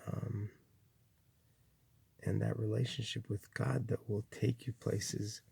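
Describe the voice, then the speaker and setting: Slow gravelly-voiced man musing softly. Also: flat delivery, dry recording. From Chile. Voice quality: gravelly, husky low voice. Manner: slow, rambling, with many restarts and fillers. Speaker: spiritual speaker. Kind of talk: reflective spiritual monologue.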